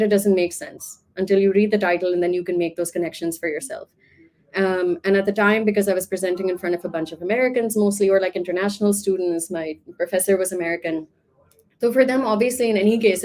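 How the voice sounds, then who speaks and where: Woman from Pakistan, art teacher guest; thoughtful, rambling interview talk at an ordinary pitch. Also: darkish tone. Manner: reflective, talkative, many "like" fillers. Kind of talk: casual interview answers.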